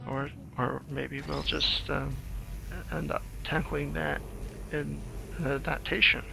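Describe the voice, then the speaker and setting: A man improvising playthrough commentary on a video game, thinking aloud in a hesitant, halting way with a gravelly voice. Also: fairly high pitch, level tone, rough recording. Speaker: screenwriter doing game commentary. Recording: game music behind the voice. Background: video game music.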